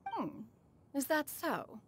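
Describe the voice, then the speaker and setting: A woman's voice: high-pitched, wildly swooping pitch, takes it slowly, a bit bright, slight background noise, exaggerated voice. A woman playing a stately ancient crane spirit in a woman's form, who speaks formally and calls herself "one," in video game dialogue. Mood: lofty. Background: game music.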